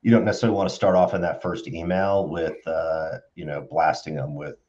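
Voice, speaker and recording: A man's voice: extremely low pitch, flat delivery, fairly quick, darkish tone. A middle-aged man co-hosting a business live stream, explaining conversationally with somewhat hesitant phrasing. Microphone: video call. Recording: slightly dull video-call audio.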